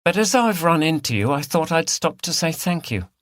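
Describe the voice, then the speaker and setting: A man's voice: a little high, very animated, moderate pace, fairly bright tone, no room sound, clean studio sound, voice pushed up. A man voicing an earnest, outspoken woman activist in an audiobook, with a frank manner. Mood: friendly, grateful. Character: earnest, feisty, outspoken female countryside activist. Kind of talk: audiobook character dialogue.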